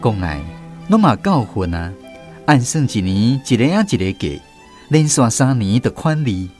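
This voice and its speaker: A man with a Taiwanese accent narrating a radio drama in a folksy, expressive storytelling manner. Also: mid-range voice, moderate pace, soft background music.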